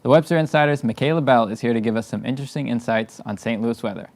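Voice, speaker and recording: A man's voice: medium pitch, quick pace, a little mellow. Male news anchor reading scripted links.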